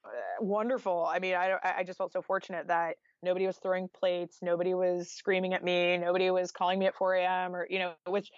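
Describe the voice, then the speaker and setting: Lowish-voiced American woman, slightly dull audio. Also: flat delivery, brisk, few pauses, no echo. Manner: informal and candid, peppered with "like" and "you know". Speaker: interview guest, journalist and author. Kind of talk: conversational podcast interview.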